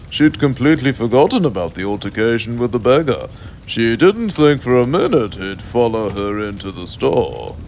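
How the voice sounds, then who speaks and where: British man, bedtime storyteller, avuncular, animated intonation, mid pitch, faint background noise. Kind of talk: mock bedtime story narration.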